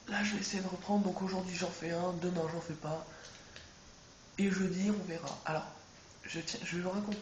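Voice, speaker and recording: Stuffy-nosed gamer in echoey room. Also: male voice, on the high side. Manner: rambling, self-correcting, chatty. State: stuffy with a head cold. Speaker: let's play gamer. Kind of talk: casual gameplay commentary. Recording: echoey room with background noise.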